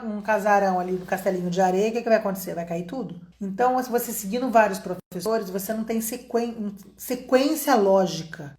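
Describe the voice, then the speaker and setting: A chatty Brazilian woman gives a casual video lesson, talking straight to her viewers in a lively, animated way. Her voice sits a little high. The room around her is echoey. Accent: Brazilian Portuguese. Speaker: English teacher.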